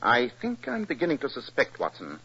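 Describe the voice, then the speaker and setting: An actor playing a brisk, authoritative British gentleman detective with a cool, commanding manner in a 1940s radio drama. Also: mid-range voice, huge pitch swings, breathy edge, exaggerated voice. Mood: analytical, pensive. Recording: muffled, hissy old radio recording.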